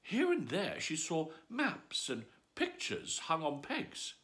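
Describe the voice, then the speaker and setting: Brief: theatrical man reading a bedtime story, bright tone, wildly varied pitch. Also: wildly swooping pitch, slow. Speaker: storyteller host.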